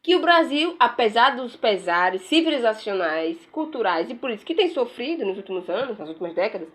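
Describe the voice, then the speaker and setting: A woman's voice: on the high side, very animated, brisk, a bit bright. A woman giving casual political commentary while browsing pages on her screen, sarcastic and rambling, tagging sentences with questions. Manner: frequent "né?" tags, rambling, sarcastic.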